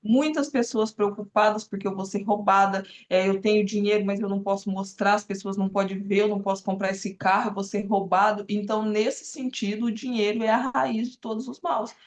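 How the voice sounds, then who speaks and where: A woman in her forties, a therapist and guest on a live video call, explaining in an earnest, unhurried way with frequent fillers. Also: mid-range voice, steady tone. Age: 40s. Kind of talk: casual live-stream talk.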